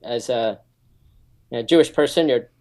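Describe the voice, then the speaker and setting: A man's voice: mid-range voice, moderate pace, darkish tone. 40s guy, security consultant type, explains stuff earnestly on a radio show, keeps restarting. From United States. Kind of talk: interview answers.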